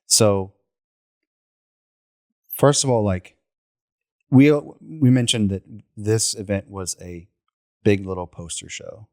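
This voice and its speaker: A man with a low voice leads a casual team conversation. His delivery is loose and good-humored. The sound is close and dry. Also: slow, long pauses, no room sound. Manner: relaxed, loose, apologetic about slips. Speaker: host. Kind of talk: casual round-table chat.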